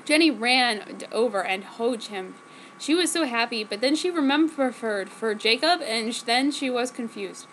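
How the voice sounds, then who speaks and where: A woman's voice: fairly high pitch, animated, moderate pace, a bit bright, low-quality recording. A woman reading a story aloud in a mock-dramatic, melodramatic way, tripping over misspelled words. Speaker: amateur fanfiction reader. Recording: noticeable background noise.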